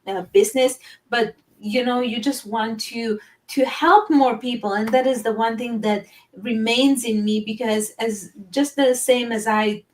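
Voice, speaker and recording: A woman's voice: a little high, steady tone, unhurried. Earnest woman from Romania, distant mic. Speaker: guest, teacher and business owner. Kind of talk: live-stream interview.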